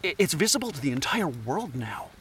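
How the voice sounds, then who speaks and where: Male actor: scatterbrained anthropologist pleading his case, persuasive but halting, fairly high voice, audio drama. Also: sing-song, fairly bright tone, a little hiss or hum. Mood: nervous, persuasive.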